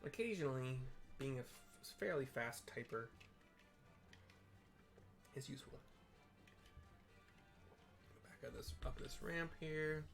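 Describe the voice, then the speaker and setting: A man's voice: mid-range voice, takes it slowly, creaky, pauses often. Offhand man commentating over game music. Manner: rambling, offhand. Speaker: gaming video host. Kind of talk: casual game playthrough commentary. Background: background music.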